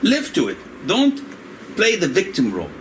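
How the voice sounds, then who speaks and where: An older man originally from Indonesia, a religious scholar answering questions in a relaxed, joking, digressive way, laughing often. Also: high-pitched, normal speed. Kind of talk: conversational religious discussion. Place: room in Saudi Arabia. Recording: noisy room with air conditioning hum.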